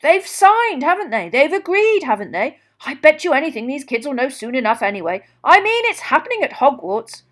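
A woman's voice: high-pitched, sing-song, normal speed, hardly any pauses, pitched above the performer's own voice. A woman voicing a jovial, boisterous male wizard official with a hearty, carefree, chummy manner in an audiobook. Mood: excited, boisterous.

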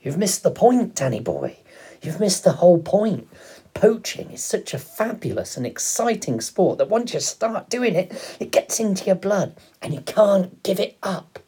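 A roguish father in a storybook, played by a male reader, spinning tales with mischievous glee. The voice is husky and swings widely in pitch, savouring each phrase at an unhurried pace. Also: lively intonation, warm tone, exaggerated voice. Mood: excited. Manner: exclamatory, relishing, dramatic delivery. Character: roguish, gleeful father, fond storyteller of poaching.

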